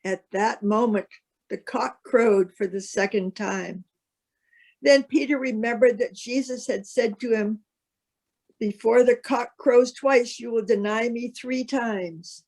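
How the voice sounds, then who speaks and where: A woman narrating a solemn Bible reading as a scripted piece, with measured, careful phrasing. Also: a little high, darkish tone, low-quality recording.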